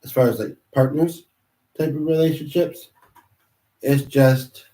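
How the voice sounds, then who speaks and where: A man who is sick and congested, chatting alone on a livestream in a halting way, full of pauses and restarts. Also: a little high, fairly even intonation, dark tone, far from the mic. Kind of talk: rambling solo livestream chat. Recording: roomy sound from off-mic.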